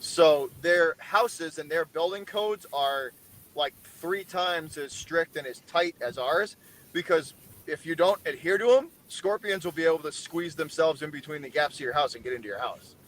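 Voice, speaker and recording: A man's voice: fairly high pitch, moderate pace, fairly bright tone, a little hiss or hum, low-quality recording. A man from Boston with a Boston accent, chatting casually on a group stream, rambling and repeating himself. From Boston, Massachusetts.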